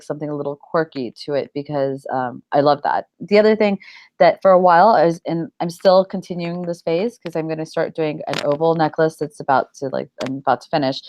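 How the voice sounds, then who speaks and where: A woman's voice: sits low, a little mellow, no echo. A middle-aged woman chatting casually in an interview, rambling informally with lots of "like" and "you know". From United States.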